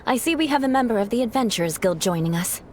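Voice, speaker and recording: A woman's voice: a little high, lively intonation, quick pace, a little hiss or hum, natural voice. An actress playing a curt, haughty young noblewoman knight in a video game. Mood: cool. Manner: curt, imperious. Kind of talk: game voice acting.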